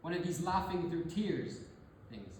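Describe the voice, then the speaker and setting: An actor performing a theatrical monologue as a rambling, sentimental storyteller, speaking casually with halting, emotional repetitions. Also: mid-range voice, animated, darkish tone, slight background noise, close to an everyday voice. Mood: wistful, moved. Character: rambling, sentimental storyteller moved by a family reunion, turning zealous. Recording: very echoey hall, distant mic, music behind.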